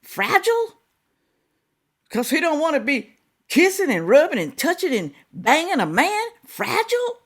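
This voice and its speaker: A woman hosting a reaction video, talking casually, scolding and animated with drawn-out exclamations. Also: high-pitched.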